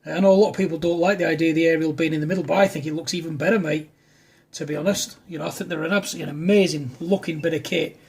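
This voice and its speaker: A man's voice: on the high side, fairly even intonation, racing, vocal fry, husky. A man, an amateur radio operator, talking in a casual chatty style to a fellow operator.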